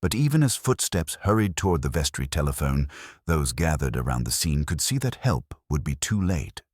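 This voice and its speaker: Very deep man narrating a mystery. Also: no echo, studio quality. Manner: measured, literary, dramatic storytelling. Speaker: audiobook narrator. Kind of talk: scripted mystery story narration.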